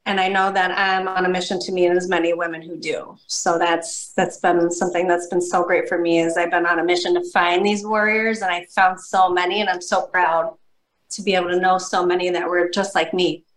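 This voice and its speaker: A woman's voice: lowish voice, little pitch movement, brisk, a bit bright. Friendly woman from jersey and upstate ny talking as a guest, roomy echo. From New Jersey and upstate New York. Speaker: guest author. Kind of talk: casual interview chat.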